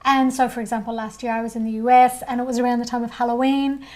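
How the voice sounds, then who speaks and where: A woman's voice: fairly high pitch, flat delivery, fast talker. An Australian woman, an author co-hosting a chat show, talking in a friendly, self-deprecating way. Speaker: author and co-host. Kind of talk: casual chat.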